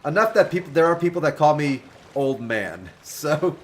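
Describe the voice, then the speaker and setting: A man in his twenties talks casually with his live chat as he plays a game. His voice sits a little high and swings up and down a lot. He answers viewers by name with a joking, animated manner. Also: moderate pace. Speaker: game streamer. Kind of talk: casual livestream commentary, chatting with viewers.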